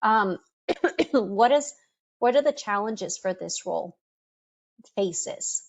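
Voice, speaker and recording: A woman whose first language is Spanish presents a career webinar over a video call. She speaks in a quick, conversational coaching tone with frequent ums. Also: mid-range voice, expressive, gravelly.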